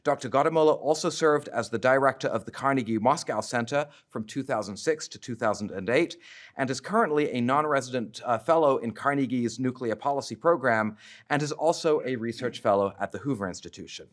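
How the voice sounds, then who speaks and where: A man hosting a public talk at a university, reading speaker bios and handing over the podium, with frequent hesitant pauses. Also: mid-range voice. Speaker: event host introducing speakers. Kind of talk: formal introduction at a public talk. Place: university lecture hall. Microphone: podium microphone.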